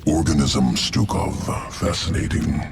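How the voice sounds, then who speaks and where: An actor playing a cold alien geneticist in a video game, speaking in clipped, detached fragments with a gravelly voice. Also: male voice, fairly even intonation, unhurried, background noise, exaggerated voice. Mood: clinical fascination. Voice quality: deep, gravelly. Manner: clipped, detached, terse fragments without articles. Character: cold clinical alien creature, master of genetic engineering. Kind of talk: science fiction video game acting. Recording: music behind.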